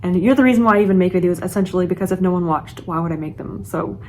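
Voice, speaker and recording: A woman's voice: fairly low pitch, racing, darkish tone, slight background noise. A woman in her late twenties, a book vlogger chatting casually and earnestly to her viewers. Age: late 20s. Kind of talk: casual chat to camera.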